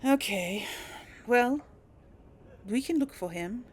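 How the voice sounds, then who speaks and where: A woman's voice: on the high side, lively intonation, slow, breathy edge. A woman giving casual, musing game commentary, thinking aloud and speaking replies in character. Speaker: gaming video host.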